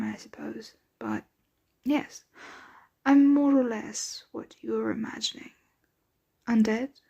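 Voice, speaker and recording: ASMR roleplay: motherly, wealthy female vampire played by a woman, soft whispers, highish voice with a creaky edge, gently soothing. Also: full character voice. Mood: wry, amused. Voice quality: soft, breathy, often whispered. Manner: tender, coaxing, genteel, unhurried.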